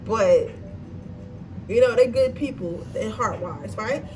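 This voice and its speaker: High-voiced chatty woman, slightly stuffy. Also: takes it slowly, music in the background. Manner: chatty and blunt, swears freely, keeps checking in with viewers. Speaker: tarot card reader. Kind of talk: casual pick-a-card tarot reading. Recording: distant mic with noisy background.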